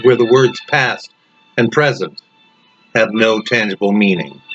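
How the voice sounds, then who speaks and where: Low-voiced male story narrator over music. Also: unhurried. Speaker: author reading own story. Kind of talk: dramatic scripted audiobook narration. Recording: dull, lo-fi narration track.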